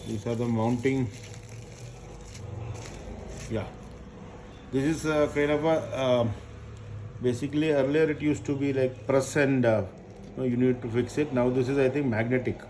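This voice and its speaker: A man doing a casual, unscripted product unboxing, haltingly thinking aloud as he goes. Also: medium pitch, steady tone, mellow. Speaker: unboxing presenter. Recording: noisy recording with crinkling packaging. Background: handling and crinkling of packaging.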